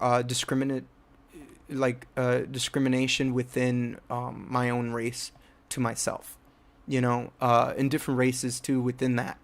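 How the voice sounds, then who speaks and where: A young man from New Jersey telling a rambling personal story about his filmmaking, with many fillers and restarts. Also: mid-range voice. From United States (New Jersey). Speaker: filmmaker and channel host.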